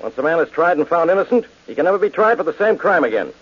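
A brusque police inspector. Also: male voice, fairly high pitch, very animated, fast, breathy edge. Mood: firm. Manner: brusque, weary. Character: gruff police inspector, man. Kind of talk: 1940s radio acting. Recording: dull old radio recording.

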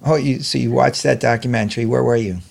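A man with a gravelly voice hosting a conversational podcast interview. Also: medium pitch, fairly even intonation, quick pace, a little mellow. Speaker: interview host.

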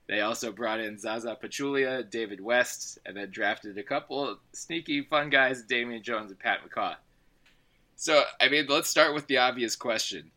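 A man's voice: mid-range voice, bright, forceful tone. A man hosting a basketball podcast, joking and laughing, getting over a cold and a little froggy. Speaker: podcast host. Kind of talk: casual sports podcast chat.

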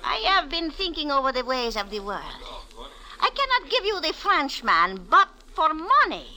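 A woman's voice: high voice, wildly swooping pitch, moderate pace, pushed and bright, exaggerated voice. An actress playing a poor, sly old Egyptian landlady in a vintage radio drama, wheedling and bargaining in a roundabout way. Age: older. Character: poor, wheedling old Egyptian boarding-house woman, sly about money. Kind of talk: 1940s radio acting.

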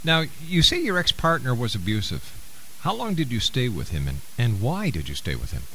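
A man's voice: mid-range voice, wildly swooping pitch, moderate pace, a bit bright, slight background noise, poor audio. A man hosting a radio interview, courteous and affectionate with his guest, with some stammered restarts. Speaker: radio talk show host.

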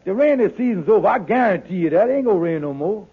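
Coaxing sitcom husband, very high voice, folksy dialect, wheedling, tinny old radio sound. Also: male voice, expressive, fast, breathy edge, exaggerated voice. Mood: coaxing, reassuring. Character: fast-talking, wheedling husband and schemer, adult man. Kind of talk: 1950s radio sitcom acting. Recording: tinny, narrow-band old radio broadcast.

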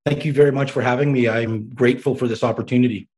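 A man of middling pitch answers interview questions on a podcast. He speaks in a thoughtful, measured way and often repeats a word as he finds his footing. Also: steady tone, a little mellow. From Iraq, raised in Canada. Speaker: developmental service worker, guest.

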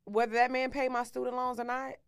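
Woman, thirties, from Texas, slightly high voice, outspoken, profane, casual podcast guest. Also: little pitch movement, brisk, clear, resonant tone. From Texas, United States. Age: 30s. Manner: blunt, outspoken. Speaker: podcast guest and co-host of own show.

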